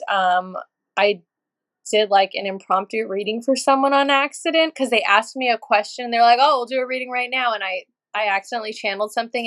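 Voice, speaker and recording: A woman from Los Angeles, a podcast guest, telling stories in a lively, casual way with easy swearing and laughter. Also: medium pitch. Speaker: podcast guest, energy healer and channeler. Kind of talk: casual storytelling chat.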